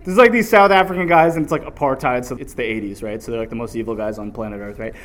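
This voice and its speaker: A man's voice: mid-range voice, fast talker. A man preaching a sermon, reading scripture aloud and drawing answers back from the congregation. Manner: animated call-and-response preaching.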